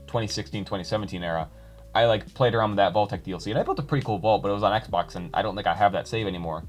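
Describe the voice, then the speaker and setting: Rambling young man with a lively voice. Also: on the low side. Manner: rambling, self-interrupting with fillers and restarts. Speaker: YouTuber reading comments. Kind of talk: casual chat to viewers.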